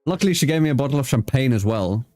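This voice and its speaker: A man's voice: medium pitch, expressive, very fast, warm tone, dry recording, faint music behind. A man in his twenties from South Africa, an Afrikaans speaker, hosting a casual interview and telling anecdotes with casual swearing. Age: 20s. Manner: swears casually, tells anecdotes with playful sung asides. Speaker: host.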